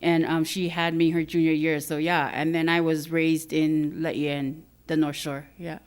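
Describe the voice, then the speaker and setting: A woman in her thirties from Hawaii, a podcast guest talking softly and thoughtfully in a casual interview, with light laughs. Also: deep voice, flat delivery, measured pace, clean tone.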